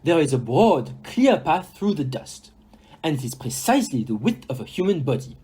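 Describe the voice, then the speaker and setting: A courteous, self-assured detective played by an amateur actor. The delivery is theatrical and precise, with a very animated melody that rises and dips, at a middling pitch. It belongs to an audio mystery play. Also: normal speed, husky. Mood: explaining, dramatic. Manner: theatrical, courteous, sprinkles in French words.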